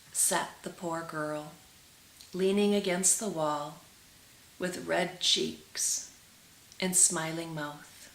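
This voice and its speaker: A woman reading a story aloud in an expressive storybook style, with dramatic, deliberate phrasing and drawn-out words. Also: on the low side, vocal fry, slight background noise. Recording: roomy, echoing sound.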